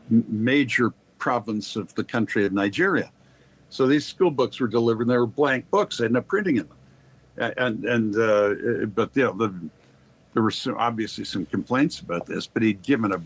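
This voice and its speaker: An older man from Canada speaks as an interview guest in a low, husky voice. He tells anecdotes in an erudite, formal way, wandering into asides and restarting his phrases as he goes. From Quebec, Canada. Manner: erudite, discursive, halting with repeated starts, formal wording. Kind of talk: anecdotal storytelling in conversation.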